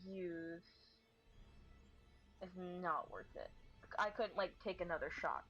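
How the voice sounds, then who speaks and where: Lower-voiced woman player on dull audio. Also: slow pace, sharp tone. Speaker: tabletop game player. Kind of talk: casual game chat.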